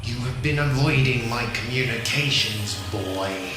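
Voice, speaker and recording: A man's voice: medium pitch, unhurried, natural voice. A man playing a cold, controlling otherworldly being in an audio drama, speaking in an ominous, commanding manner. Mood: cold reproach. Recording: very echoey, music underneath.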